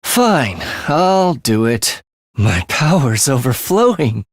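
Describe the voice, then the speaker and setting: An actor playing a morbid young man in a video game, a soft-spoken killer who is languid, menacing and gleefully excited by death. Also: medium pitch, slow, dry recording, clean studio sound. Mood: satisfied, languid. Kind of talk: theatrical video game voice acting.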